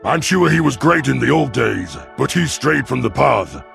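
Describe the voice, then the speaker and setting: Sci-fi audio story: arrogant young reptile hunter performed by a man, thick vocal gravel, sneering, faint music bed. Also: mid-range voice, very animated, normal speed, a bit bright, pitched above the performer's own voice, heavily acted. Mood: boastful, scornful. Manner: boastful, sneering. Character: young alien reptilian chief hunter, arrogant, taunting brute.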